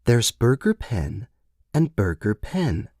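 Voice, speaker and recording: A man's voice: mid-range voice, big pitch swings, gravelly, darkish tone, dry recording, studio quality, full character voice. Man voicing a cool, mocking, menacing businesswoman. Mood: menacing, condescending. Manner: mocking, deliberate, condescending. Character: cool, amused, menacing corporate woman handler. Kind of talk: scripted short-story character voice.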